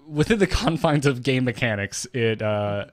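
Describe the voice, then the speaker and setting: A very dry, close sound on a man with a medium-pitched voice. He co-hosts a gaming podcast, chatting casually in a wry, slightly halting way. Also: expressive, no room sound. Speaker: podcast co-host. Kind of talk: casual chat about video game lore.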